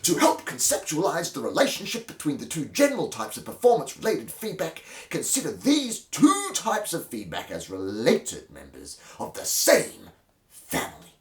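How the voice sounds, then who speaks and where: A man giving a mock-dramatic reading of a textbook, with hammy emphasis that swells suddenly and drops to a hush. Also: a little high, wildly swooping pitch, normal speed. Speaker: host of a dramatic reading series. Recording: roomy, distant microphone.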